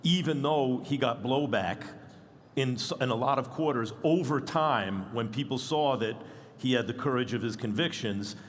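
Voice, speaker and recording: A man moderating a panel, drawing comparisons as he thinks aloud with halting restarts. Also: medium pitch, a little hiss or hum. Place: conference stage.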